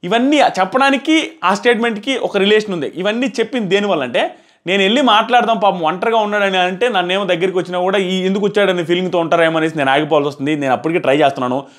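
A man's voice: sits high, racing, a bit bright. A man in his thirties giving a casual, emphatic spoken review to camera, repeating phrases for stress, on a lapel mic indoors. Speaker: reality show reviewer. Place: small plain room indoors. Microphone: clip-on lapel mic.

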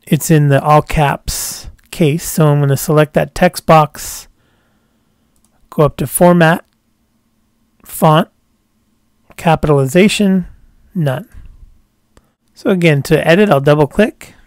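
A man walking through a software tutorial step by step as a screencast voice-over, calm and explanatory, dropping a casual "cool" between steps. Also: on the high side, slow pace, pauses often, dry recording, studio quality.

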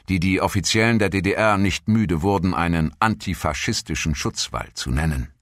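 A man reading scripted historical narration as a documentary narrator, in a measured, formal manner. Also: low voice, fairly bright tone, no room sound, studio quality. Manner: measured, formal read-out delivery.

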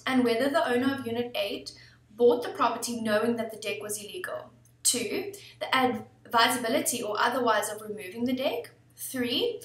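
A woman in her thirties presenting a scripted legal explainer in a steady, formal reading manner, recorded at a desk webcam in an office. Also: fairly high pitch, distant mic. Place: office room indoors. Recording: echoey room, voice somewhat off-mic.

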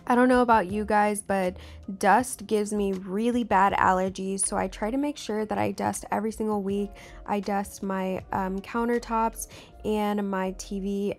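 A woman's voice: mid-range voice, vocal fry. A young American woman giving casual voice-over tips as a vlogger, chatting informally to viewers. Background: background music.

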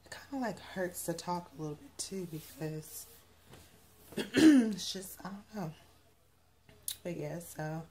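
Hoarse young woman vlogging to her phone. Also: fairly low pitch, mellow, slight background noise. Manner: chatty, rambling, frequent fillers. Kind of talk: casual vlog chat. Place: parked car, then a bedroom. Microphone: phone camera at arm's length.

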